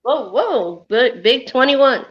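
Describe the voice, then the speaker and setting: A woman's voice: high-pitched, animated, slow pace, muffled recording. A woman chatting casually as a guest on a stream panel. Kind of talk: casual chat.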